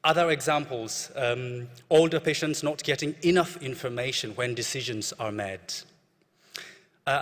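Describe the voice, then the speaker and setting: A man's voice: mid-range voice, a bit bright. A young man from Ethiopia with an Ethiopian accent, presenting his research as a PhD student in a short personal conference talk. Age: young adult. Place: large hall at a conference. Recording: echoey hall with long reverb.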